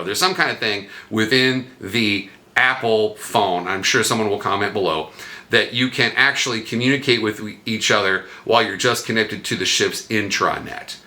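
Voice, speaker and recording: A man's voice: on the low side, sharp tone. A man hosting a vlog, talking viewers through travel tips in a casual, conversational way with wry asides. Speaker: vlog host giving cruise travel tips. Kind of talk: casual explainer talk to viewers.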